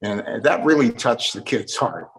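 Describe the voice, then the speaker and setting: A man's voice: mid-range voice, expressive, normal speed, rough recording. A man hosting a casual interview, reminiscing in an earnest, nostalgic way. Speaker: interview host.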